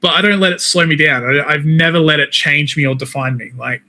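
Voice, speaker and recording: A man's voice: a little high, a little fast, bright, forceful tone. A man with an Australian accent chatting casually as an interview guest, informal and talkative. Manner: chatty, peppers talk with "man" and "like". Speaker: interview guest, wrestler and game streamer.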